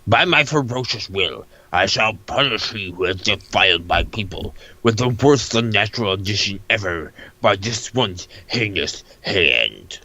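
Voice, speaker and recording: This is a pompous comic-book warrior woman, acted out by a man. The voice is lowish and very sharp, recorded dry and close. Every line is declaimed as a grand, angry proclamation in a mock dramatic reading. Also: lively intonation, unhurried, few pauses, no echo, heavily acted, low-quality recording. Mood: pompous, defiant. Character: furious, self-proclaimed almighty warrior woman, bombastic comic villainess. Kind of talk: mocking dramatic reading of a webcomic.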